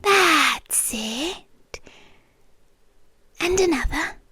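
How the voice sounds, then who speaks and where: A British woman playing a soothing, possessive hypnotist girlfriend in an ASMR roleplay, speaking softly and breathily, often in a whisper, close to the microphone. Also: high voice, expressive, no room sound, heavily acted. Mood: soothing, hypnotic. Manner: slow, hypnotic, coaxing.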